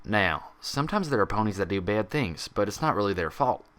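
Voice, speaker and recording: A man's voice: on the low side, sing-song, brisk, a bit bright. A man performs a protective female cartoon pony who works as a doctor. The voice is firm and emphatic, with a folksy, reassuring way of talking suited to a dramatic story reading. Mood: patient explaining. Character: firm, protective mare doctor, exasperated yet caring.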